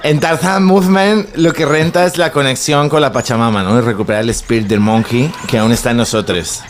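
A man's voice: medium pitch, fairly even intonation, fairly quick, a crowd in the background, close to an everyday voice. A comedian playing a vain, muddled young male influencer with a Spanish accent, who throws in English words and mangles others. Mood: airy, rambling. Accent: Spanish from Spain. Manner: slangy, mixes in English words, fumbles words. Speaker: comedian in character.

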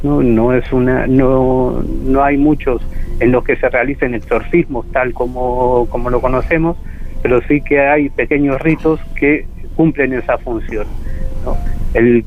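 A man from Argentina, a guest author on a radio show, explaining slowly with many fillers. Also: medium pitch, slight background noise. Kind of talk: radio phone interview. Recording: tinny phone line.

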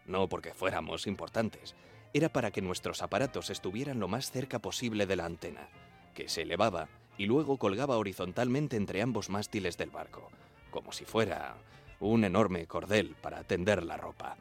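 A young telegraph operator from the 1910s in a radio drama. His voice is low and he tells his story in an earnest, measured way, with music behind him. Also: expressive. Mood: measured, reminiscing. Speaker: actor narrating a radio drama. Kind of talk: first-person radio fiction narration.

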